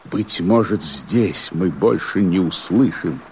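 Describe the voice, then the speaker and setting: Wary rebel sentry, radio verse drama, raspy, brooding, extremely slow, highly animated pitch swings. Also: male voice, slight background noise, exaggerated voice. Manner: slow, declamatory, with dramatic swells and drops. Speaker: actor in a radio play.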